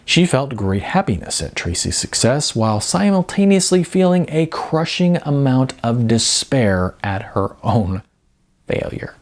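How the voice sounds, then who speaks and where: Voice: medium pitch, big pitch swings, unhurried. A narrator reading a novel aloud as scripted storytelling. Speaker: audiobook narrator.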